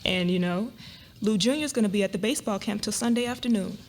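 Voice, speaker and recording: A woman's voice: mid-range voice, quick pace, a little hiss or hum, natural voice. A woman playing an affectionate middle-aged wife and mother, chatty and easygoing, in a dramatic reading. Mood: chatty, cheerful.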